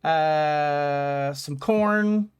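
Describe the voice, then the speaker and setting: A woman giving casual video game commentary, halting as she thinks aloud. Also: sits low. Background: game music.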